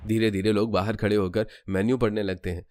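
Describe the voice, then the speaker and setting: A man's voice: fairly low pitch, little pitch movement, fast, a little mellow. A man narrating a cartoon story in a scripted storytelling style.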